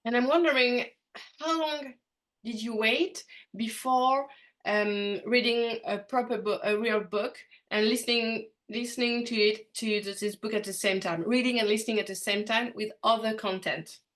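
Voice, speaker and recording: A woman's voice: fairly high pitch, moderate pace, a bit bright. Hesitant woman host, non-native English. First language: not English. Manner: hesitant, many fillers and restarts. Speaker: podcast host. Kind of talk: podcast interview.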